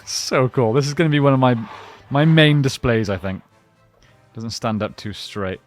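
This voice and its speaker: A man's voice: mid-range voice, animated, normal speed, soft background music. A man giving a casual unboxing and review, talking informally and thinking aloud as he goes. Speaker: toy reviewer and collector.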